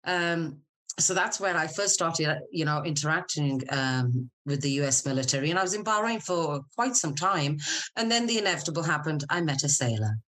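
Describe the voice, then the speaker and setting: A middle-aged woman with a low-pitched voice. She talks as an interview guest, casual and candid. Her delivery is chatty and conversational, suited to personal storytelling. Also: animated, moderate pace, fairly bright tone. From Scotland. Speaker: podcast guest, military spouse and business owner.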